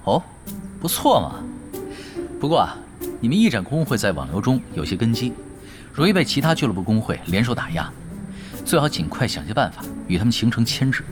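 An actor playing a laid-back, shrewd veteran pro gamer who gives wry, casual advice in a radio drama. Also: male voice, medium pitch, very animated, brisk, close to an everyday voice. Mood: advising, relaxed. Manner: casual, wry, advising juniors. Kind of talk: Chinese radio drama dialogue. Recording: music behind the voice.

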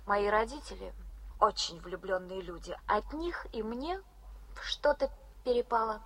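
An actress playing a frank, gentle young woman student from Yakutia who speaks softly and earnestly. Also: mid-range voice, unhurried, rough recording. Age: young adult. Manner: soft, earnest, often hushed. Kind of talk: 1980s radio drama acting.

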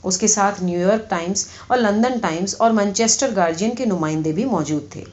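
A woman's voice: medium pitch, a little mellow. A woman reading a satirical short story as scripted audiobook narration. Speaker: audiobook reader. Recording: slightly dull, lo-fi audio.

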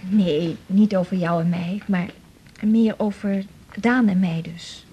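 A faintly noisy, clicking recording. An actress plays a practical woman in a radio drama. She speaks in a matter-of-fact, agreeable way at an average pitch. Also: steady tone, takes it slowly, dark tone, lo-fi sound, natural voice. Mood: businesslike.

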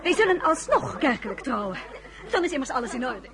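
A woman playing a gracious high-born lady at an imperial court in a radio drama, polite, formal and a little halting. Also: very animated, a bit bright, heavily acted. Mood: concerned, composed. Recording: murmuring crowd behind.

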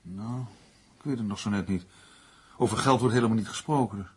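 An actor playing a serious, doubting crime novelist under threat in a Dutch radio play. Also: male voice, lowish voice, fairly quick, slightly rough. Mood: serious, doubtful.

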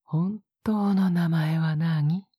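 A male actor voices an obsessive young male captor in an intimate audio drama. His voice is very breathy and husky, often sinking to a whisper. He speaks slowly, coaxing with a sweet, condescending menace, close to the mic in a dry space. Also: on the high side, steady tone, dry recording, exaggerated voice. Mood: coaxing, possessive. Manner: slow, coaxing, condescendingly gentle.

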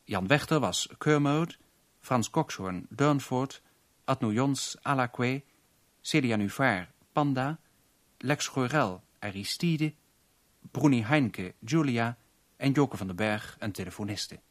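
A man reading scripted radio drama credits as an announcer, formal and measured. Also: medium pitch, expressive, no echo.

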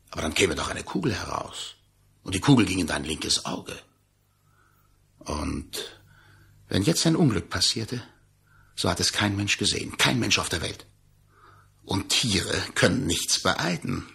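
A low-voiced, very husky hunter in a radio play. He taunts his victim with mock innocence and deliberate menace, his gravelly voice cutting and sharp. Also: sing-song, exaggerated voice. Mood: sly menace. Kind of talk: German radio play acting.

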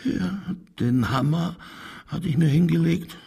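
An actor playing a weak, spiteful murder suspect under interrogation in a radio drama, halting and muttering. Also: male voice, on the high side, creaky, mellow, slightly rough, full character voice. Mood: cowed, halting.

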